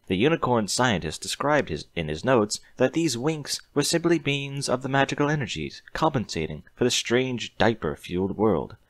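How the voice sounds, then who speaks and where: A man's voice: lowish voice, no echo. A man reading a story aloud in a scripted audiobook narration style.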